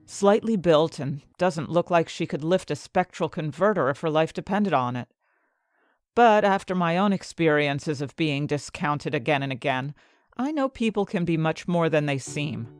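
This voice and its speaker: A woman's voice: sits low, lively intonation, normal speed, no room sound, clean studio sound. A woman playing an ambitious, indignant fantasy inventor, narrating her own story expressively and articulately in an audio drama. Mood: wry, scheming. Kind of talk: first-person dramatic audio fiction narration. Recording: music bed behind the voice.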